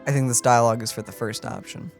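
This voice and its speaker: A man's voice: medium pitch, quick pace, faint music behind. A man co-hosting a casual game let's play, making wry joking asides. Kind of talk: casual gameplay commentary.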